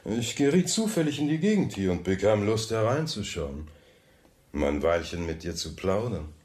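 An actor playing a suave, wealthy banker who talks smoothly and with polite condescension in a radio play. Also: male voice, fairly low pitch, big pitch swings, normal speed, darkish tone. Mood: casually chatty. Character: suave, wealthy banker, coolly probing his rival. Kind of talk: German radio drama dialogue.

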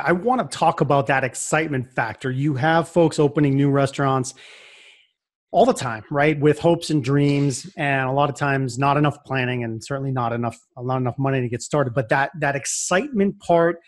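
A man hosting an interview, setting up a question with a halting, enthusiastic start. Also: on the high side. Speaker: interview host.

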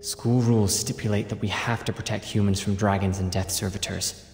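A cool-headed young male fighter for a game, speaks in a very flat, gravelly deadpan. Heavy echo surrounds the terse delivery. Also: fairly low pitch, a little fast. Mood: calm, flat. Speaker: video game voice actor. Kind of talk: game cutscene acting. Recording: reverberant game audio with music behind. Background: music.

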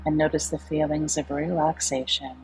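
A woman leading a guided meditation in a calm, soothing, unhurried way with long pauses. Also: low-pitched, gravelly, low-quality recording. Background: soft background music.

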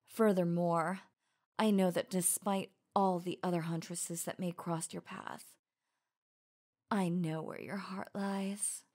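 Slow, sultry werewolf huntress acted by a woman. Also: lowish voice, fairly even intonation, darkish tone. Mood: playful, amused. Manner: slow, breathy, intimate, often dropping to whispers. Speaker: audio roleplay voice actor. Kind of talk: ASMR roleplay.

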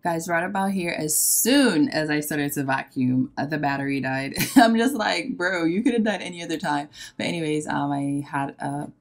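A woman's voice: deep voice, normal speed, hardly any pauses. A young woman vlogger chatting casually about cleaning her living room, sounding tired and rambling with loose filler words. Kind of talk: casual chat. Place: bright home living room.

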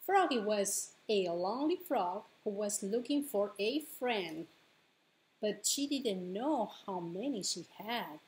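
A middle-aged woman hosting a bilingual storytime as a children's librarian, reading picture books aloud with deliberate phrasing for small children. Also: medium pitch, expressive, rough recording. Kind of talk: bilingual picture-book read-aloud for preschoolers. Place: living room at home, indoors.

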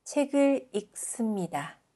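A woman from South Korea reading example sentences as a language teacher, enunciating carefully and deliberately. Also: a little high, expressive, warm tone.

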